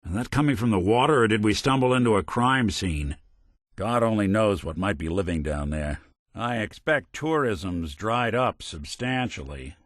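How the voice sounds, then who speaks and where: A world-weary robot gumshoe from a video game, speaking in a lowish male voice. His delivery is wry and hard-boiled in film-noir style, with lively swings in pitch. The sound is close and dry. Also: moderate pace, no echo. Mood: wry, wary.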